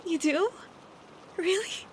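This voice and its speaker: A young woman acting an affectionate, teasing best friend who is nervously smitten, in a soft, hesitant, intimate roleplay style with rain behind her. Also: extremely high pitch, slow, slight background noise. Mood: flustered, nervous. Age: young adult. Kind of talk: intimate roleplay audio acting, girlfriend-experience monologue. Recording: steady rain sound effects behind voice.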